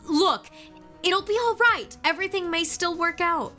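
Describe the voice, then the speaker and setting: A woman's voice: very high-pitched, normal speed, sharp tone, faint music behind, pitched above the performer's own voice. A woman voicing an earnest young male defense attorney who talks in an encouraging, raised voice. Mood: reassuring.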